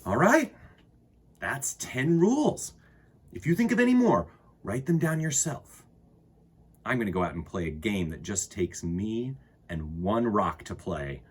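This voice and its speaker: Husky, very animated male storyteller. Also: on the low side. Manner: expressive, dramatic emphasis and pauses. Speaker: children's storytime host. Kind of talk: animated picture-book read-aloud for children.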